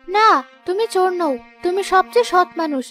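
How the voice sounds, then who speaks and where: A loyal young-sounding wife acted by a woman speaks in a high voice. She is soothing, earnest and supportive, as in a cartoon folk tale, with music underneath. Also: normal speed, fairly bright tone. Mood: consoling. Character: supportive, consoling wife of a poor porter. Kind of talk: Bengali cartoon moral tale dialogue. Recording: music behind the voice.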